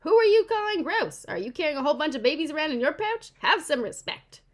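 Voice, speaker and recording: A woman acts out a sassy mother opossum from a storybook, thoroughly indignant. The voice is raised high and swoops about, with emphatic, argumentative questions thrown at the listener. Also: very animated, quick pace.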